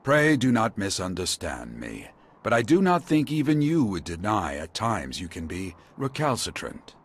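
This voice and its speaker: A man playing a courtly, wistful old mentor in a video game cutscene, speaking in formal, archaic, measured phrases. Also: fairly low pitch, huge pitch swings, slightly rough, exaggerated voice. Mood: grave. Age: older. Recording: loud music behind the voice.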